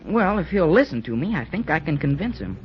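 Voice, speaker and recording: Jerry, an earnest schoolboy, muffled recording. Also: male voice, on the high side, sing-song, fairly quick. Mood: eager, determined. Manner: boyish, eager, folksy slang. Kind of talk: 1940s radio acting. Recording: noisy, dull old radio recording.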